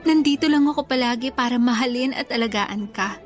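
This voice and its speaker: A woman's voice: on the high side, fairly quick, close to an everyday voice. An actress playing a sweet, devoted young girlfriend in a Tagalog radio romance, tender and effusive. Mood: tender, delighted. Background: faint music.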